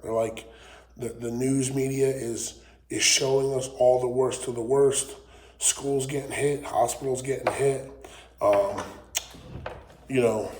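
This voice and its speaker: A man's voice: medium pitch, dark tone. A man, hosting his own channel, talking casually in a weak, recovering voice, rambling with lots of ums and the odd swear. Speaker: channel host. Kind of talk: casual political rant to camera. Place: wood shop. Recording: echoey workshop with faint noise.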